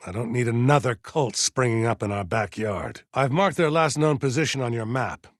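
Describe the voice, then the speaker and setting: A man voicing a stern, aging militia colonel in a video game, formal and measured as he gives orders with deliberate pauses. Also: mid-range voice, no echo, studio quality, natural voice. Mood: stern, commanding. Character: stern aging militia colonel, dutiful, zealous, wary, slightly forgetful. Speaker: military commander giving orders. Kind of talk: scripted video game character dialogue.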